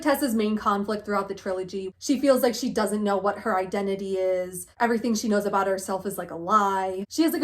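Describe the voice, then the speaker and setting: A woman giving a casual, gushing, sarcastic book recap, full of "like" and asides. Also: mid-range voice. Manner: sarcastic, gushing, heavy use of "like". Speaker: book vlogger.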